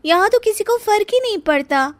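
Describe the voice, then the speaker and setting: Woman voicing a young daughter-in-law, high pitch, cartoon story, expressive, sweet-talking, sassy, close dry recording. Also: brisk, dry recording. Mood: persuasive. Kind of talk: animated Hindi moral story acting.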